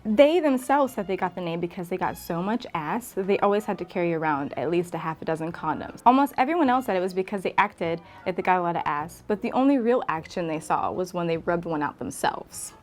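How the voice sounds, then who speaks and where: A woman's voice: fairly low pitch, animated, a little fast, few pauses, soft background music. A woman reading a scripted comedic story as a narrator. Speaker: guest storyteller.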